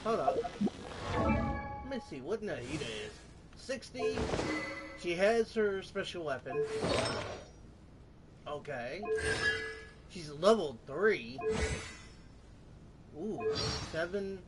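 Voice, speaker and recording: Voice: mid-range voice, very animated, slow pace, long pauses. A gamer giving casual, reactive commentary while playing, with exclamations and muttering. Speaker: gaming YouTuber playing and reacting. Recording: loud game music behind the voice. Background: loud game music.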